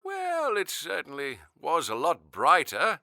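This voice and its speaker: A very old man with a gravelly creak and slow, halting delivery. His pitch swoops widely as he speaks, courteous, old-fashioned and wistful, in a radio drama interview. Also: wildly swooping pitch, bright, forceful tone, no echo, studio quality, heavily acted. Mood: polite, wistful. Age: older. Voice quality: creaky, aged voice. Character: ninety-three-year-old man, gentle Earth-born elder, wistful.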